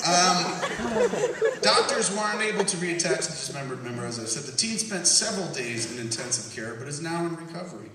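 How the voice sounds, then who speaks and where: A man hosting a live comedy podcast, profane and animated, laughing through his jokes while reading a news story aloud and acting out voices. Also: on the high side, fairly quick. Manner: reads news aloud and acts out quoted voices. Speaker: podcast host. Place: large hall with live audience. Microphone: stage mic heard via handheld camera in the audience. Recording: echoey hall from far off with loud noise.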